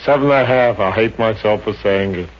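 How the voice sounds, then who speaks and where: An actor playing a weary, complaining, soft-hearted old pawnbroker in 1950s radio comedy style. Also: male voice, fairly low pitch, brisk, close to an everyday voice. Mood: grudging, weary. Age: older. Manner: weary, complaining, inverted old New York phrasing. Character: grumbling, kvetching but soft-hearted old pawnbroker grandfather. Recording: muffled, hissy old radio recording.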